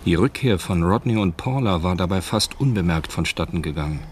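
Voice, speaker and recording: Deep-voiced male radio drama narrator. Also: animated, a little fast, darkish tone, slight background noise.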